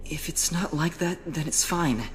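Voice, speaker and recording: An actor plays a gentle young man, sorrowful and resigned, in an audio drama. He speaks very slowly and softly, in long reverb over faint ambient noise. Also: fairly high pitch, close to an everyday voice. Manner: soft, hesitant, solemn.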